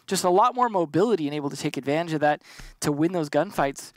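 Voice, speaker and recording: A man with a slightly high voice working as the color commentator on a competitive shooter broadcast. He breaks down positioning and fights calmly and analytically, with a dry wit. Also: quick pace, dry recording. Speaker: esports analyst.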